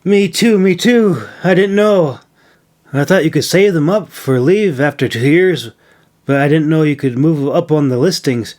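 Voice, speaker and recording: A man performs an eager junior trainee from a fantasy storybook. The pitch sits raised above a plain narrating voice. He sounds excitable, insistent and demanding. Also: measured pace, natural voice. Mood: alarmed.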